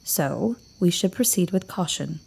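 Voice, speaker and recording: An actress playing a prim, cautious housekeeper in an audio drama. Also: female voice, lowish voice, lively intonation, unhurried, gravelly, darkish tone, husky, heavily acted.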